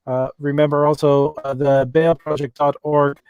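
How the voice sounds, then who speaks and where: A man's voice: fairly high pitch, little pitch movement, normal speed, clean tone, dry recording, lo-fi sound. A man with an Irish accent hosting a live call-in chat show and reading out viewer questions, with many ums and restarts. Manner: hesitant, many fillers and restarts. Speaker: livestream host.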